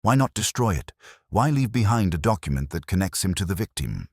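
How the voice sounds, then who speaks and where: A man voicing a grave woman witness in an audiobook mystery, with measured, insistent questioning. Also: low voice, very animated, a little mellow, dry recording, clean studio sound, pitched above the performer's own voice, heavily acted. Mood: puzzled, insistent. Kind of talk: dramatized audiobook character dialogue.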